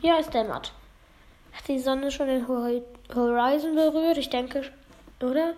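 A woman feeling under the weather, talking through a game in a halting, apologetic way and often losing her words. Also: sits high, warm tone, a little hiss or hum. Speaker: let's play gamer. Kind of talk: casual gameplay commentary.

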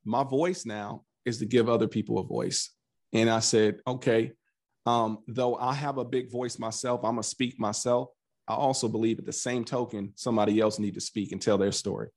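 Guest spot: earnest man, mid pitch, storytelling with many restarts. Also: normal speed. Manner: earnest, animated storyteller who voices his past self in quotes; frequent restarts and repeated words. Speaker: podcast guest. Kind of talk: casual conversational interview.

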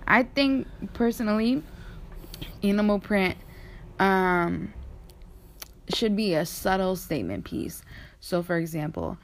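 A woman's voice: mid-range voice, expressive, takes it slowly, long pauses, a little hiss or hum. A woman hosting a casual conversation in an informal, slangy way. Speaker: podcast host. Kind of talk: casual chat about fashion.

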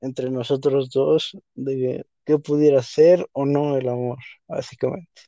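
A man's voice: medium pitch, steady tone, slow pace, mellow, no echo, muffled recording. A young man, co-hosting a podcast in a rambling, casual way and leaning on the same filler word. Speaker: podcast co-host. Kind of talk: casual chat.

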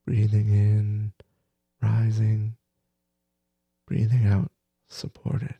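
A man leading a guided meditation as teacher, gentle and soothing, with soft breathy phrases that trail off between long pauses. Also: lowish voice, monotone, no echo, highs cut off. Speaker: meditation teacher, podcast host.

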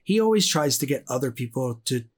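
A man's voice: mid-range voice, sing-song, fairly quick. A man who sings in a band, answering interview questions in a laid-back way and often trailing off. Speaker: band vocalist guest. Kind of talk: casual interview chat.

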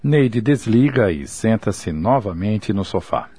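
A Brazilian man narrating and announcing a radio drama in a measured, dramatic way. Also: fairly low pitch, animated, slightly rough, heavily acted. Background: loud music.

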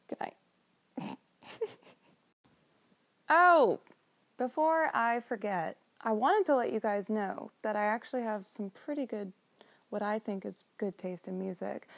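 A young woman in her twenties, an esthetician hosting a casual solo podcast, with a nasal voice and a slow, drawn-out way of talking. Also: a little high, gravelly.

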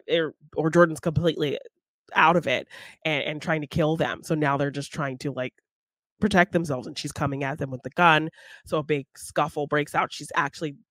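A woman's voice: low-pitched, fairly bright tone, no room sound. A woman co-hosting a podcast, casually retelling a film in an informal, conversational way. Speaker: podcast co-host.

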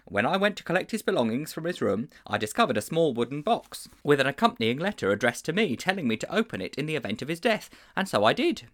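A man's voice: a little high, fast, hardly any pauses, voice pushed up. A man doing Mister Luckless, an earnest fussy teacher. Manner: formal, slightly fussy, animated. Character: earnest anxious older male schoolteacher.

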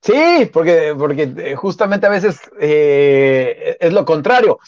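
Lo-fi, dry sound. Male co-host, Mexican accent, high-pitched, halting casual chat with a joking streak. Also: very animated, dry recording.

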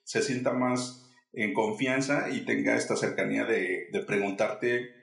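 Un hombre con acento mexicano que conduce un pódcast de entrevistas, con tono animado y algunas muletillas. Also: male voice, on the low side, steady tone, measured pace, gravelly, a bit bright. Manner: upbeat, hesitant fillers. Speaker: podcast host. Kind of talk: interview hosting. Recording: echoey room with music.

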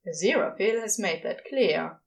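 A woman reading fiction aloud as expressive scripted narration, with dramatic pauses. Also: mid-range voice, vocal fry. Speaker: podfic reader.

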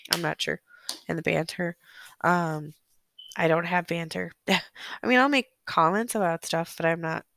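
A sick, hoarse woman hosting a solo true-crime podcast, chatty and apologetic, filling pauses with ums. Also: lowish voice, fairly bright tone, no echo. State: sick, hoarse, losing her voice. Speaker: podcast host. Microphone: close podcast microphone.

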